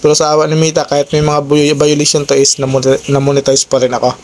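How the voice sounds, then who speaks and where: Chatty man with a higher-pitched voice. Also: flat delivery, fast. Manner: chatty, repeats tag questions to listeners. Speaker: motovlogger. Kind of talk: casual vlog chat to viewers. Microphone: old cellphone, no intercom.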